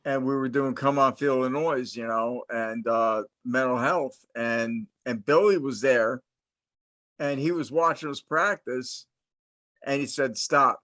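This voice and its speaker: A man's voice: medium pitch, unhurried, pushed and bright, no room sound. A middle-aged man from New Jersey hosting a casual interview, telling long stories with many restarts and fillers. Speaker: host, interviewer.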